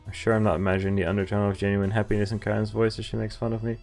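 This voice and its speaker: A man's voice: on the low side, completely flat delivery, racing, darkish tone. A man streaming a visual novel, reading it aloud and adding casual, playful, reactive commentary. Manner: playful, reactive, acts out dialogue. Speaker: game streamer. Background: game music.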